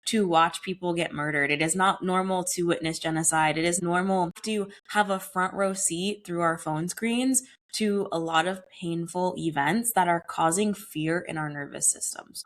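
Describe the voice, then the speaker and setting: A young adult woman hosting her wellness podcast alone, talking in an earnest, conversational way, her voice a bit raspy from a recent cold. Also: fairly low pitch. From United States. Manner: earnest, conversational, uses casual "y'all" and "like". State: slightly hoarse from a cold. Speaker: podcast host. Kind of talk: solo wellness podcast monologue.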